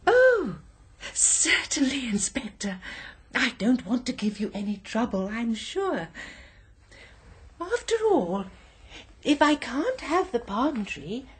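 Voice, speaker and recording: Prim English murderess for radio drama, genteel but unhinged, fussy manner, slightly husky, lively range. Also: female voice, a little high, takes it slowly, full character voice. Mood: wistful, genteel. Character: genteel, unhinged lady murderer dreaming of a tea shop.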